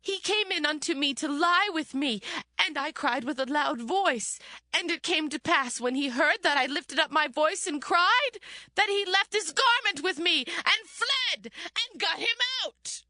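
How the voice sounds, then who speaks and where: A woman playing a scheming, seductive master's wife in a dramatised scripture reading, with a deliberate theatrical delivery. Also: high-pitched, expressive, bright, forceful tone, no room sound, studio quality, full character voice. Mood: accusing.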